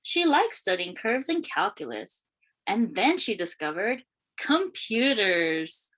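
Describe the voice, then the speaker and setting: A woman reading a children's picture book aloud in an expressive, deliberate storytelling manner. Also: on the high side, rough recording. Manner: expressive, questioning lifts and deliberate phrasing. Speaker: read-aloud storyteller, teacher.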